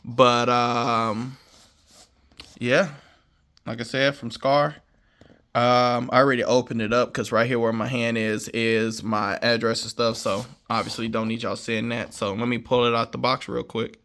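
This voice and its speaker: A man's voice: mid-range voice, flat delivery, a little slow, a bit bright. A man with an American accent chatting in a laid-back, rambling, slangy way like a vlogger showing off gear. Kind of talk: casual unboxing vlog chat.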